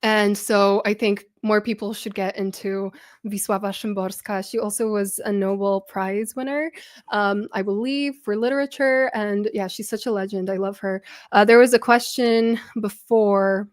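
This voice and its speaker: A woman's voice: medium pitch, fairly even intonation, moderate pace. Chatty young woman from Poland. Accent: British-influenced international English, Polish speaker. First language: Polish. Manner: chatty, rambling, many ums and likes. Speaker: book channel host. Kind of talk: casual livestream chat answering viewer questions.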